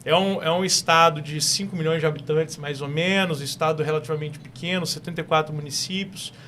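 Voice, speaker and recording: A young man with an Espírito Santo accent, talking eagerly as a talk show guest. His voice sits a little high, and he often circles back to restart a phrase. A faint hiss runs underneath him. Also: fairly even intonation, brisk, pushed and bright. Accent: Brazilian Portuguese from Espírito Santo. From Espírito Santo, Brazil. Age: young adult. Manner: enthusiastic, repeats and restarts phrases. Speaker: guest entrepreneur.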